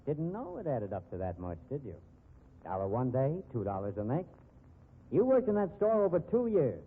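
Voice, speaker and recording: Swooping hard-boiled investigator, muffled old radio. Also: male voice, mid-range voice, huge pitch swings, moderate pace, husky, full character voice. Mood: accusing, bullying. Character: hard-boiled, accusing male store investigator, bullying and cynical. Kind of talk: 1950s radio drama acting. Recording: muffled, dull 1950s radio recording.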